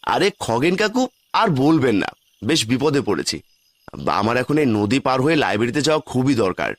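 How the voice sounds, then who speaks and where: A man voicing an anxious, earnest young village man, polite and stubborn, in an animated horror story. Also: mid-range voice, moderate pace. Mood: polite, worried. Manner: anxious, earnest, stammering when afraid. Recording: long reverb on the voice.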